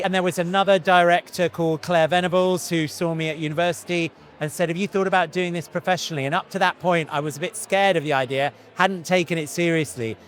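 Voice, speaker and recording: A man in his fifties, an actor answering interview questions in a thoughtful, earnest way, often restarting and saying "you know". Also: high-pitched. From Iran, raised in Britain. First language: Farsi.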